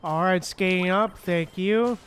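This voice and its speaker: Slow, easygoing male game streamer. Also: high-pitched, fairly bright tone, soft background music. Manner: chuckles often, murmurs to self. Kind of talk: casual gameplay commentary.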